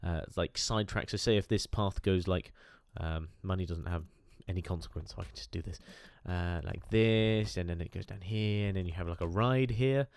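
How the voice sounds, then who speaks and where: A man's voice: sits low, normal speed, vocal fry, few pauses, darkish tone, a little hiss or hum. A man with a cold, nasal and chatty, talking over gameplay with lots of fillers. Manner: chatty, enthusiastic, rambling with fillers. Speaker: gaming YouTuber. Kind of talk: casual game commentary.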